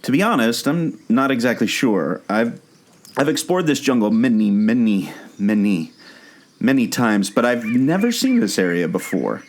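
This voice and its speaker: A man's voice: mid-range voice, very animated, moderate pace. A man playing an earnest, obsessive amateur sleuth and former jungle skipper in a scripted audio drama. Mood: explaining. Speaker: podcast co-host.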